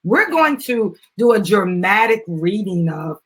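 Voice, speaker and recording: A woman's voice: medium pitch, big pitch swings, measured pace, crowd noise. An American woman chatting informally to viewers as she hosts a casual live video and promotes a children's book. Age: adult, mother of a young child. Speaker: live stream host, business founder.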